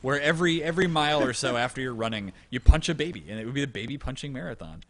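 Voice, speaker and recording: A man's voice: mid-range voice, expressive, very fast, crowd noise. A young man casting a live video game match, joking and rambling while losing his voice. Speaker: esports caster.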